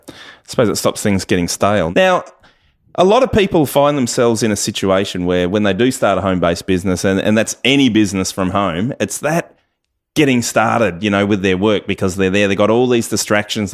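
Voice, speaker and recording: A man speaks in a fairly low voice. He is an interview host, asking questions in a conversational way. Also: a little fast.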